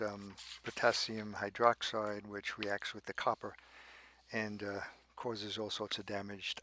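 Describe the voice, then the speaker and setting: A man narrating an unscripted how-to about a repair, speaking methodically and haltingly in short phrases with pauses between them. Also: lowish voice, sharp tone. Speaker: radio restorer and presenter.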